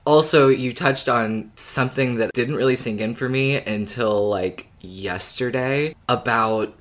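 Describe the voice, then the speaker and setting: A woman with an extremely deep voice co-hosts a fan podcast. She talks in a casual, conversational way as she reacts to listener messages. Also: a little slow. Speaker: podcast co-host. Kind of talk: casual chat.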